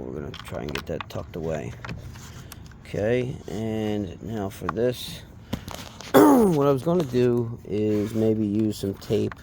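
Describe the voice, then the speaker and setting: Low-voiced man, slow halting tutorial. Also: background noise. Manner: halting, trailing off while working with his hands. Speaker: DIY installation demonstrator. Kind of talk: unscripted hands-on tutorial, casual.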